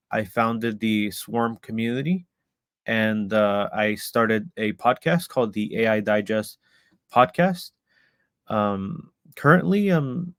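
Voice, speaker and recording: A man's voice: fairly low pitch, no echo, clean studio sound. A man from El Salvador giving a live online presentation about a community he founded, hesitant with many ums and restarts.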